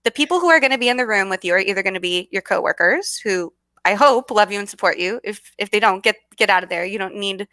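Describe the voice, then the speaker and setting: Bubbly Californian woman, bright voice. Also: medium pitch, quick pace, dry recording. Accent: Californian American. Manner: bubbly, many fillers and restarts. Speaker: guest speaker. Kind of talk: casual video call chat.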